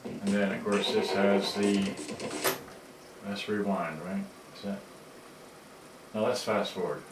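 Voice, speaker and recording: A man's voice: fairly low pitch, steady tone, slow, heavy background noise. A man giving an unscripted hands-on product demo as a repairer, rambling in fragments while he handles the gear. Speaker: cassette player repairer demonstrating serviced units. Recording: roomy, distant mic with loud music. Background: music from cassette players.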